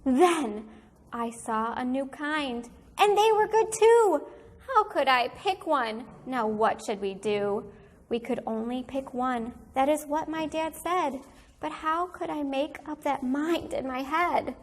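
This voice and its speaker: A woman teacher reading a children's picture book aloud in an animated, deliberate storytelling manner for young listeners. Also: sits high.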